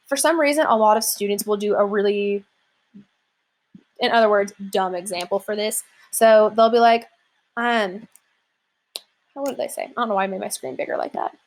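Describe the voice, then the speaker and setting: A young adult woman teacher walking students through an assignment in a casual, chatty way with many fillers. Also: mid-range voice. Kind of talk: classroom lesson walkthrough.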